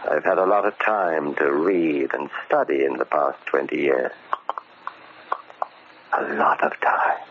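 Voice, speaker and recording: An actor playing a vengeful ex-convict posing as a deferential, bookish manservant, speaking formally and measuredly with polite menace in old radio horror style. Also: male voice, rumbling low, fairly even intonation, a little slow, long pauses. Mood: quietly ominous. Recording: muffled, noisy old radio recording.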